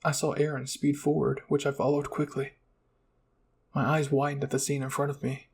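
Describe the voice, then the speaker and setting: A man's voice: medium pitch, little pitch movement, moderate pace, creaky, a little mellow, slightly rough. A man narrating a scripted first-person roleplay story.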